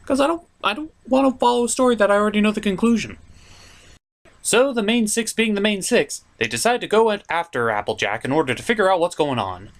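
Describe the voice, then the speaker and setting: Animated, sarcastic male cartoon reviewer. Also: high voice, fast. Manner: animated, sarcastic, swears freely. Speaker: host. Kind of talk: comedic cartoon episode review.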